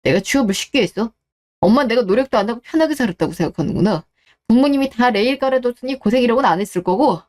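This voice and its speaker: A woman's voice: on the high side, animated, quick pace, a little mellow, natural voice. Radio drama husband, voiced by a woman: earnest, intense, loyal to his wife, wounded and embittered. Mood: angry. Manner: earnest, emotional. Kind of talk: melodramatic radio drama dialogue.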